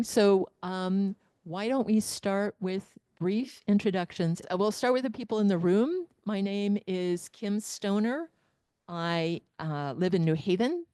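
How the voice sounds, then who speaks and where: A woman's voice: medium pitch, dark tone, smooth, no room sound, studio quality. A woman facilitating a training session, laying out the agenda step by step in a meeting room, speaking into a handheld microphone. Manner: organized, walks through the agenda step by step. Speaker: workshop facilitator. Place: meeting room with people present and online.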